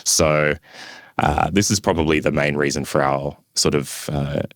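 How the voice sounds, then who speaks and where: A man with an extremely deep voice talks as a guest in an interview. His intonation stays quite flat and his explanations are measured and tentative. The sound is dry, with very little room. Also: creaky, dry recording. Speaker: podcast guest, construction professional. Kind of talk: conversational interview answers.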